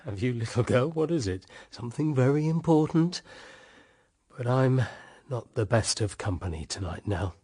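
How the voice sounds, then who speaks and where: An actor with a British accent playing a weary, embittered husband in a radio drama, wry yet tenderly affectionate. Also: male voice, medium pitch, animated, normal speed, dark tone, breathy edge. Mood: tired, gentle. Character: weary, embittered husband, tender toward his young sister-in-law.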